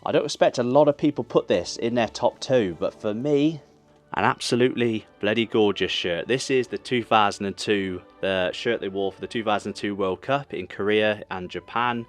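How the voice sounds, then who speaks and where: Low-pitched English man, chatty and opinionated. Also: moderate pace. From England. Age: 30s. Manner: chatty, opinionated, speaking to viewers. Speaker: host of a football shirt channel. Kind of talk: casual vlog countdown commentary. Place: small room full of football memorabilia. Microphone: small wireless mic held in hand. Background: music.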